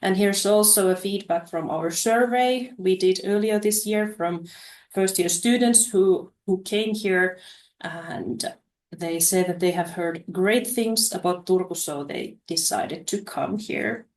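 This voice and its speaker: Woman, webinar presenter, hesitant, lots of fillers, mid pitch, echoey room. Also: slow, a little mellow. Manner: hesitant, many uh fillers and restarts. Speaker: study promotion presenter.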